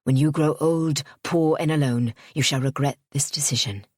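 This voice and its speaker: A woman voicing a pompous, obsessive gentleman suitor in a storybook reading, speaking in a haughty, clipped way. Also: fairly high pitch, normal speed, no room sound, studio quality, pitched below the performer's own voice, natural voice. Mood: menacing.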